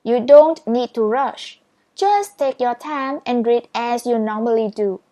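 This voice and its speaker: Woman, Vietnamese first language, speaking English. Slow, patient, encouraging instructor with a higher voice. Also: dark tone. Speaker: speed reading instructor. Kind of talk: step-by-step tutorial.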